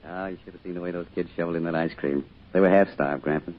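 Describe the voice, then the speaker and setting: A man's voice: deep voice, fairly even intonation, quick pace, natural voice. A man playing a loving, wistful small-town father in an old-time radio drama, with a folksy, reflective delivery. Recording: muffled old radio recording.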